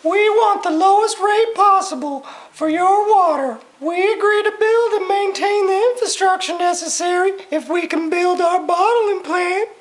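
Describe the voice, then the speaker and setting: A woman's voice: very high voice, measured pace, few pauses, rough recording. A woman playing a pushy, haggling company representative in a scripted amateur puppet show. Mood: businesslike, pushy.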